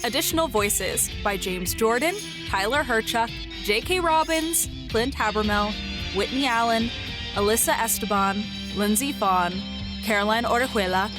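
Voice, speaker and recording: A woman reading scripted podcast credits as an announcer. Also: mid-range voice. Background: guitar music.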